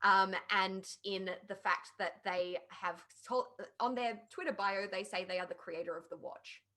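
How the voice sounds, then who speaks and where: A woman in a casual podcast discussion, picking her phrases deliberately with pauses. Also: medium pitch, sharp tone. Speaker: podcast panelist.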